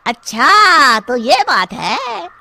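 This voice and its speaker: Woman voicing a gloating, animated cartoon thief. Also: sits high, drawn-out words, creaky, slight background noise, heavily acted. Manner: gloating, taunting. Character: Kalia, sneaky vengeful male thief turned invisible, posing as ghost. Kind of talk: cartoon story character voice.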